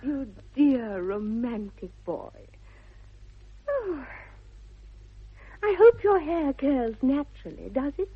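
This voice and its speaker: A woman's voice: sits high, big pitch swings, slow, pauses often, heavily acted. An actress with a British accent playing a dreamy, headstrong young Victorian lady in a 1960s radio comedy, with precise, affected, earnest delivery. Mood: fond, dreamy. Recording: dull vintage 1960s radio recording.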